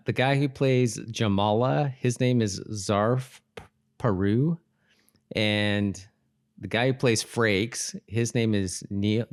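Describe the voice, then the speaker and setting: Male podcast host, low register, dry close sound, loose rambling delivery that doubles back on itself. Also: no room sound. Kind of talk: casual rewatch podcast chat.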